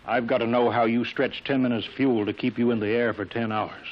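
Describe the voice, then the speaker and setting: An actor in an old-time radio drama playing a brusque, impatient middle-aged boss of a rocket test field who barks clipped orders. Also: male voice, medium pitch, fairly even intonation, fairly quick, close to an everyday voice. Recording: muffled old radio broadcast recording.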